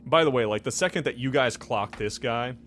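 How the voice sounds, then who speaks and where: A man narrating a tabletop game as game master, improvising in a loose, halting, conversational way. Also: mid-range voice, a bit bright, soft background music.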